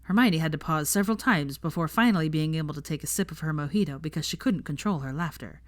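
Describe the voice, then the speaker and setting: A woman's voice: low-pitched, fast. A woman reading a story aloud as a narrator, in a scripted storytelling style. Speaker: audiobook narrator of fan fiction.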